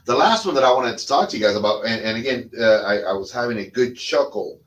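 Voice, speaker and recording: A man's voice: mid-range voice, expressive, quick pace, fairly bright tone, highs cut off. A middle-aged man from Cuba whose first language is Spanish, casually hosting a cigar talk and rambling with lots of fillers and restarts while he smokes. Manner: smoking a cigar while talking. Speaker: cigar podcast host. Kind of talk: casual monologue.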